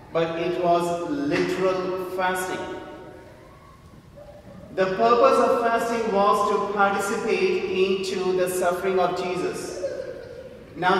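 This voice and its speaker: A man preaching a homily as a priest, in a deliberate, emphatic way with repetition and rhetorical questions. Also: a bit bright. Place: large church interior. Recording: echoey church, distant mic, faint rumbling noise.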